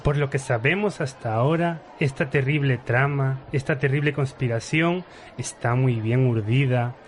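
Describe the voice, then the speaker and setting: A man playing a formal, alarmed gentleman ally in a parody radio soap opera, speaking melodramatically. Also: medium pitch, normal speed, slight background noise, natural voice. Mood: grave concern. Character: grave, alarmed gentleman ally explaining dark conspiracies.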